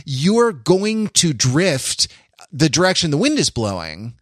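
A man hosting a casual discussion podcast, explaining things at length in a halting, backtracking, wry way. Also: a little high, big pitch swings, a bit bright, no room sound, clean studio sound. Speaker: podcast host.

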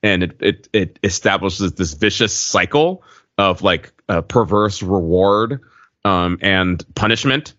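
Male podcast co-host, very low voice, discursive and casual, muffled audio. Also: takes it slowly, fairly bright tone. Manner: wordy, discursive, hesitant explaining with many asides. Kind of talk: casual podcast chat, explaining video game slang. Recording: muffled audio in an echoey room.

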